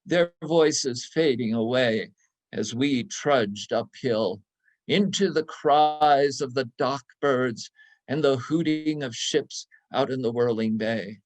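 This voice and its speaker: A man giving a dramatic literary reading of a nostalgic story, savouring each descriptive phrase in a deliberate manner. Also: medium pitch. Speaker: reader in a readers theatre.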